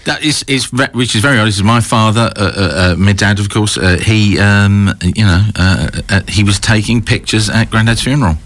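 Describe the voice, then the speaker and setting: Male radio host, low-pitched, loose and chatty, trips over his words in the studio. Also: a little fast, hardly any pauses, a bit bright, no echo. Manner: chatty, stumbling restarts. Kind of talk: casual studio chat.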